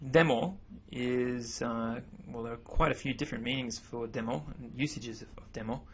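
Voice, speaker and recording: A man whose first language is English, explaining grammar over slides in a relaxed voice-over, often repeating himself as he goes. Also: mid-range voice, moderate pace, a little hiss or hum. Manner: relaxed, self-correcting explanations. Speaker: language teacher. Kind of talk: narrated slideshow lesson.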